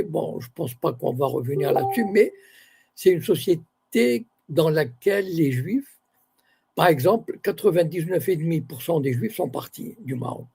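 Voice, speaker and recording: An older man from Morocco talks as an interview guest, weighing each phrase and correcting himself as he goes. His voice is gravelly and husky, with a dark, mellow tone that sits a little high for a man. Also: animated. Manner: measured, searching for words, self-correcting. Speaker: author and university academic. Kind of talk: interview guest, reflective talk.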